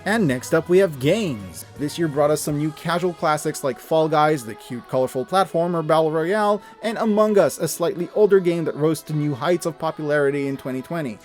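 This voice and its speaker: Higher-pitched man, enthusiastic casual reviewer, music behind. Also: brisk, few pauses, a little mellow. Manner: casual, emphatic, mildly sweary. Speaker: host of a review video. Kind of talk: scripted, enthusiastic recommendation narration.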